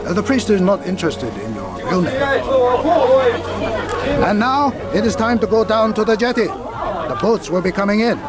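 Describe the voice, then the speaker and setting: A deferential Japanese village interpreter, has a very high male voice. He is hesitant and ceremonious, in a radio play with music and crowd sound behind. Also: sing-song, moderate pace, long pauses. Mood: explanatory. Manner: hesitant, formal. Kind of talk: radio drama acting.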